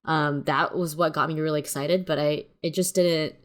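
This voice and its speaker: A woman's voice: sits low, fairly even intonation, moderate pace. A woman co-hosting a casual film review chat, giving her opinions in a tentative way. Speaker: review show co-host.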